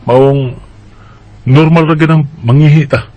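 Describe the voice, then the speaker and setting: A man's voice: fairly high pitch, steady tone, a little slow, a little mellow, no room sound, lightly acted. A man with a Cebuano Bisaya accent playing a matter-of-fact, dismissive but reassuring doctor in a radio drama. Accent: Cebuano Bisaya Filipino.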